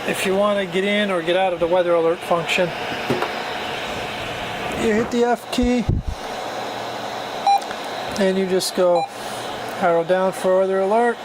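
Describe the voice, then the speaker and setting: A man giving a casual, plainspoken, hands-on demonstration of equipment in an informal amateur recording. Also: high-pitched. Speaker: product reviewer demonstrating a CB radio. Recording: noisy amateur recording, loud background hum.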